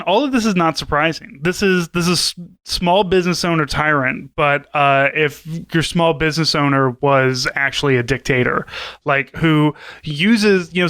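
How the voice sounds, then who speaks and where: A man's voice: on the high side, sharp tone, clean tone, no room sound. A man co-hosting a podcast, giving casual, opinionated commentary in a profane, sardonic way. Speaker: podcast co-host.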